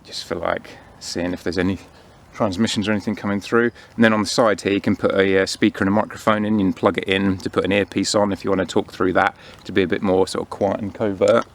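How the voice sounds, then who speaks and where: Male outdoor vlogger, thirties, fairly low pitch, relaxed unscripted talk into a camera. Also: fast talker, hardly any pauses. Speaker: vlogger reviewing outdoor gear. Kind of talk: casual unscripted product review and chat. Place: outdoors in autumn woodland.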